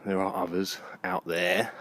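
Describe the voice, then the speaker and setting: A man vlogging casually on a walk outdoors, thinking aloud in a halting, rambling way. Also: low-pitched, little pitch movement, a bit bright. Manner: musing, halting, with unfinished thoughts. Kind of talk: casual rambling monologue while walking. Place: outdoors in a park at night. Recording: noisy outdoor handheld recording.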